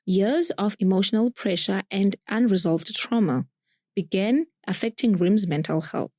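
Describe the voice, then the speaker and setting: A woman reading scripted narration for a campus radio feature in a measured, composed way. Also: mid-range voice, darkish tone, no echo. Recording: dry close studio sound.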